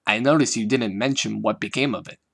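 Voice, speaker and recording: A man's voice: fairly low pitch, a little fast, gravelly, a bit bright. A man voicing a refined, theatrical teenage girl who sighs dramatically, in a fan-made audio reading. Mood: put-upon. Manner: prim, theatrical sighing.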